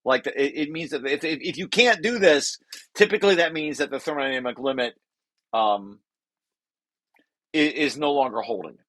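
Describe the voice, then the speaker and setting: A man's voice: a little high, moderate pace, pauses often, a bit bright. A man presenting a research paper draft informally to colleagues, repeating and rephrasing key phrases as he explains.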